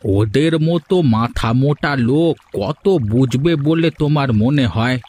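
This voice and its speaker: A man's voice: mid-range voice, measured pace, a little mellow, lightly acted. A man voicing a cautious village husband in a cartoon, always questioning his wife's plans. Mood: doubtful. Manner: questioning, hesitant. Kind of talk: dubbed cartoon dialogue. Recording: music in the background.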